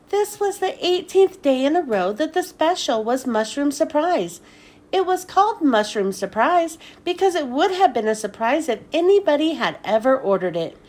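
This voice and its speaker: A woman reading a children's story aloud as scripted narration, with expressive, deliberate delivery. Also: high voice. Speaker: children's book read-aloud host.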